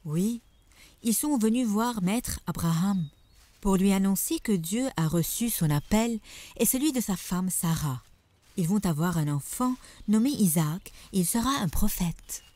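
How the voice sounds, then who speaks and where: A soft-toned, mellow cartoon maidservant, played by a woman, recorded close and dry. She is devout and knowing, and explains things with earnest conviction. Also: medium pitch, animated, measured pace, dry recording. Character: devout, knowing maidservant who shares holy news. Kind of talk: cartoon dubbing.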